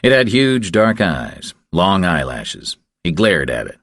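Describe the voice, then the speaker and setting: A man narrating a thriller audiobook in a very low voice. His delivery moves up and down with plenty of expression, and the sound is close and dry. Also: very animated, slow pace, fairly bright tone, dry recording.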